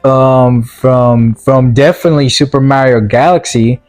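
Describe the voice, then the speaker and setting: A man with a medium-pitched voice talks through a movie review in a loose, casual way. He stumbles and repeats words as he goes, slipping into mock-earnest sarcasm. Music plays behind him. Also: fairly even intonation, a little mellow. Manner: halting, stammering delivery with repeated words. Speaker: video reviewer.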